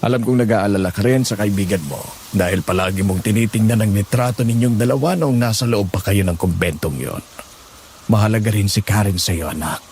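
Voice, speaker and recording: A man playing a calm, kindly older father in an audio horror drama, gently persuading. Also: fairly low pitch, fairly quick, soft background music, close to an everyday voice. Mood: gentle, reassuring.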